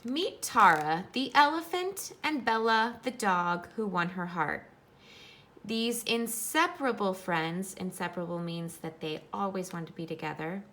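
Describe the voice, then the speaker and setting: A woman's voice: mid-range voice, fairly bright tone. A woman teacher reading a nonfiction book aloud for children, instructive and explaining things in simple terms.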